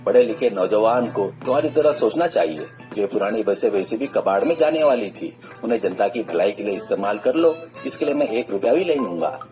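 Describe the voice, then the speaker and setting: A man's voice: fairly low pitch, animated, fairly quick, mellow, natural voice. Man playing a generous, kind-hearted rich businessman. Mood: approving, generous. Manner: approving, generous. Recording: music bed under the voice.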